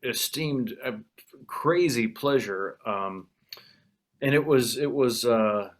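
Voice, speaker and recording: A man's voice: medium pitch, takes it slowly. Man, event host, self-deprecating, rambling, video call. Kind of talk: casual conversation on a video call.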